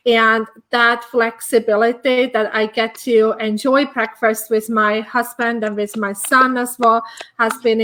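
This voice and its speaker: A woman's voice: a little high, fairly bright tone, a little hiss or hum, rough recording. A woman from Estonia, a business coach guest, talking casually with many fillers in a hotel room. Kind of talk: casual conversation.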